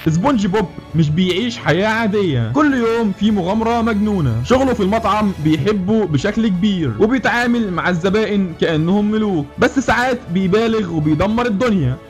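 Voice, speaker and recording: Egyptian guy doing a scripted cartoon recap, talks quick, kinda high voice, faint music under it. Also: expressive. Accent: Egyptian Arabic. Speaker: narrator of a cartoon recap video.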